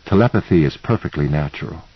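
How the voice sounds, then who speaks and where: A man plays a self-assured woman mentor in an audiobook novel. The delivery is instructive and matter-of-fact, low and husky, on a slightly muffled recording. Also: animated, quick pace, no room sound, exaggerated voice. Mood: calm, instructive. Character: calm, assured woman mentor, gently insistent.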